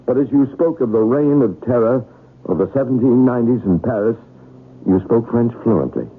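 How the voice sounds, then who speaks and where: An actor playing a worried, insistent husband and professor, speaking earnestly in an old-time radio drama style. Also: male voice, medium pitch, animated, normal speed, slightly rough. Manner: earnest, urgent. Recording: tinny, noisy old radio broadcast.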